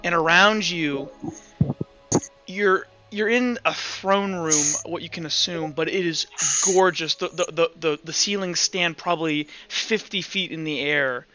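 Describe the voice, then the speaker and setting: A man speaking as game master, improvising narration in an informal, halting way with repeated and restarted phrases. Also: fairly high pitch, sharp tone, smooth. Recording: muffled online voice call with background music.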